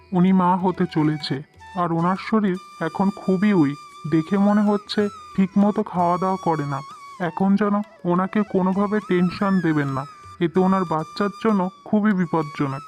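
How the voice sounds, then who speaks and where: A man's voice: high-pitched, moderate pace, lightly acted. Man as cartoon family doctor, matter-of-fact, advisory, cautioning, background music. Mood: calm, cautioning. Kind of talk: cartoon voice acting.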